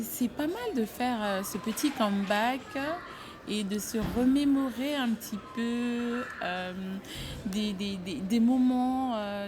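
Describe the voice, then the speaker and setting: Woman from french guiana talking slow, lots of euh, bit high voice, noisy room. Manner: slow and hesitant, many fillers. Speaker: fashion brand founder, guest. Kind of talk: interview, recounting her childhood.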